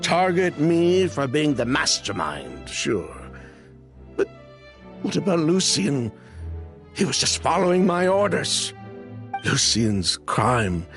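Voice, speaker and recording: An actor playing a defiant, embittered, grieving criminal in a video game, with theatrical delivery. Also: male voice, medium pitch, fairly bright tone, heavily acted. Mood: bitter, anguished. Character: bitter, defiant, grief-stricken male criminal mastermind. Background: faint music.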